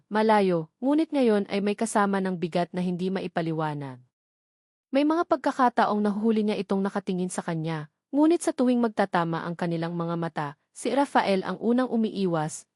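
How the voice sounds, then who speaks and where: A woman with a Filipino accent narrating a scripted romantic audio drama in a measured, dramatic storytelling manner with deliberate pauses. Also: mid-range voice, expressive, darkish tone, dry recording, studio quality.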